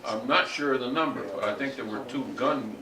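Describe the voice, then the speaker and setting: A man speaking up from the audience with a measured, somewhat tentative comment. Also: mid-range voice. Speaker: audience member. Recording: distant, echoey room with clear noise.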